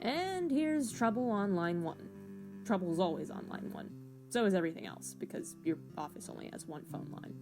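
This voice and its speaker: A woman narrates a hard-boiled detective spoof in the second person. Her delivery is wry, with lively pitch movement and light music behind her. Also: moderate pace.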